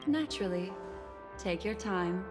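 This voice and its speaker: An actress playing a poised, enigmatic, alluring collector of memories in a video game, speaking in measured, theatrical, cryptic phrases. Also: female voice, mid-range voice, lively intonation, close to an everyday voice. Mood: silky, inviting. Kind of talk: scripted game dialogue. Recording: long reverb, clear music behind.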